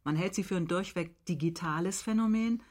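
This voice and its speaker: A man's voice: high-pitched, fairly quick, a little mellow, lightly acted. A man playing a reflective, articulate interview host in a radio drama. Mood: reflective, probing.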